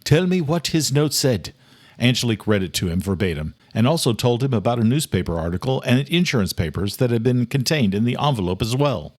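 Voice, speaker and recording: A man reading a scripted audiobook as narrator, telling the story in a dramatic, measured way. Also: mid-range voice, animated, a little mellow, dry recording.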